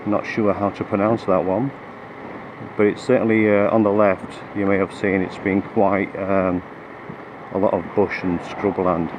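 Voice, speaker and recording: A man riding a motorcycle with a cold-roughened, croaky voice, chatting through the route with lots of ums and restarts. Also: sits low, little pitch movement, measured pace, highs cut off. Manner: hesitant, many fillers and restarts. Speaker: motorcycle vlogger. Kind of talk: rambling ride commentary. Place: on a motorcycle on the road. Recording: road and engine noise behind.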